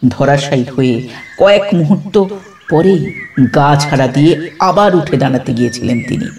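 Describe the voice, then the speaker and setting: A man narrating a horror story dramatically, building suspense with pauses between short phrases. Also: fairly high pitch, vocal fry, darkish tone, distant mic, slight background noise.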